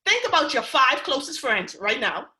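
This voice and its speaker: A young Bahamian woman with a Bahamian accent gives a motivational pitch to a conference call, animated and casual, often checking in with "right?". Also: moderate pace, echoey room. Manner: animated, keeps asking "right?" and "y'all", keeping it real. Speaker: speaker on a recruiting call.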